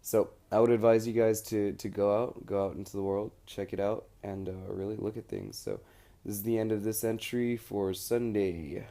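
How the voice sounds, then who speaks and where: Young American male for audio diary: lowish, very mellow voice, slangy, loose, unscripted delivery. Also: normal speed, creaky. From United States. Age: young adult. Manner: rambling, informal, slangy. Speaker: student recording an audio log abroad. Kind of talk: casual spoken diary.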